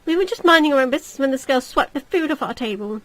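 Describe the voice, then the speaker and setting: A woman's voice: sits high, expressive, fast talker, a bit bright, no room sound. A woman voicing an indignant, snobbish society lady in a visual novel, complaining melodramatically. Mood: indignant, whining. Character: indignant haughty society lady, affronted and wailing.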